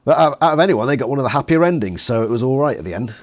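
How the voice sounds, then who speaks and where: A British man chatting casually as an interviewer, jokey and quick to swear. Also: medium pitch, animated. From Britain.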